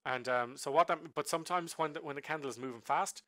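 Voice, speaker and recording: Bright-voiced man rambling through a trade recap. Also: a little high, fast talker, dry recording. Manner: rambling, many ums and self-corrections. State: sick with a flu, voice recovering. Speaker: day trader reviewing his trades. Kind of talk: casual screen-share trade recap.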